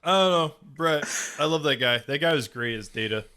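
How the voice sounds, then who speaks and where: A man co-hosting, talking casually in a relaxed group conversation. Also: a little high, normal speed. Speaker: podcast co-host. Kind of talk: casual panel chat.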